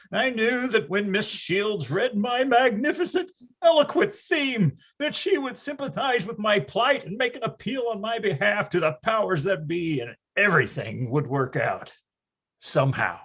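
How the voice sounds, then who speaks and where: A man's voice: sits high, very animated, moderate pace, fairly bright tone, husky, full character voice. A man playing a wry, nostalgic grown-up storyteller recalling his boyhood with florid emphasis in a readers theatre performance. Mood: mock grandiose. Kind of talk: dramatic first-person narration in readers theatre.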